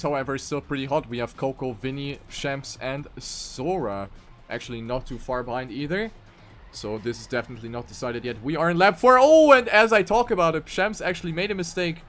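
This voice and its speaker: A man casting an online racing game tournament live, giving play-by-play that jumps up on crashes and mistakes. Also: fairly high pitch. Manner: excitable play-by-play, rising sharply on crashes. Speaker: esports caster.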